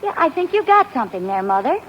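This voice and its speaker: Dry, clever wife; high voice; muffled 1940s radio broadcast. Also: female voice, big pitch swings, fast talker, a bit bright, slight background noise. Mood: wry. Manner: dry, wry, patient. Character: clever wife playing a trick on her husband. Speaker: sitcom lead. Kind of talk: 1940s radio sitcom acting.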